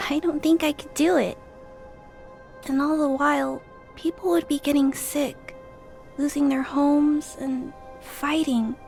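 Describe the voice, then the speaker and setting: A woman voicing a shy, earnest young girl in a video game, hesitant and polite. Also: high-pitched. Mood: earnest, resolved. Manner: hesitant, soft-spoken, polite.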